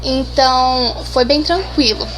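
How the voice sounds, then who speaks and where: A woman's voice: sits high, slow pace, a bit bright, a little hiss or hum, poor audio. A seventeen-year-old girl from Brazil, answering interview questions casually, shy and hesitant with many restarts. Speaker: interview guest.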